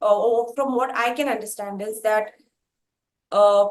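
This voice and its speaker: A woman interviewer speaking in a conversational way, effusive and wordy, building her questions with deliberate phrasing. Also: medium pitch, fairly even intonation.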